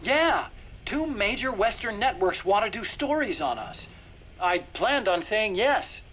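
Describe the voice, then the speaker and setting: An actor playing an earnest, bookish male engineer in a video game, with a light, high voice and a slightly nervous, persuasive manner. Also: sing-song, a little slow, a bit bright, heavily acted. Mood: eager.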